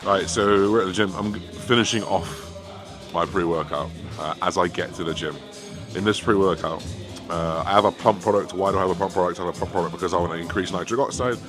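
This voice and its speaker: A man with a raspy voice and a half West Country accent, chatting casually to camera as a fitness coach and swearing now and then. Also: deep voice, fast talker. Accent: half West Country English. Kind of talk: casual vlog talk to camera. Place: home, then gym. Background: music.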